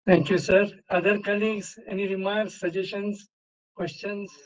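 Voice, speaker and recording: High-pitched polite male moderator. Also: level tone, moderate pace, poor audio. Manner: polite, repeats "thank you, sir", calls for questions. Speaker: session moderator.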